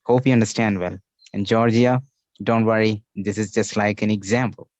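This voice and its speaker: A man's voice: on the low side, fairly even intonation, normal speed, a little mellow, dry recording, dull audio. A man with an Indian accent hosting an online English class over a video call, patiently explaining idioms and repeating the key phrases.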